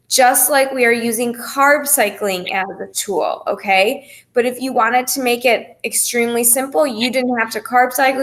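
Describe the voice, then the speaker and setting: Woman fitness coach explaining stuff, kinda high voice, informal, careful and reassuring. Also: a bit bright. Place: phone call presentation.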